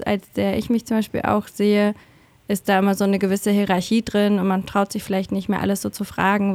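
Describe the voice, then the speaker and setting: A woman speaks reflectively in a conversational interview. Her pitch is middling with little movement, and the sound is dry. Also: darkish tone, dry recording. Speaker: association organizer, interviewee.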